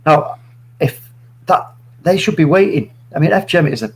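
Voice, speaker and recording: A man's voice: on the high side, expressive, moderate pace. A man in his late forties, a guest on a live stream, giving his views earnestly and rambling through many restarts. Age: 40s. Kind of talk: opinionated live chat.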